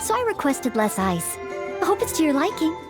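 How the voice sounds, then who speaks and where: A woman's voice: sits high, very animated, normal speed, over music. A woman voicing a polite, earnest young maid who is eager to help, in video game acting. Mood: eager, helpful. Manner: courteous, dutiful, apologetic.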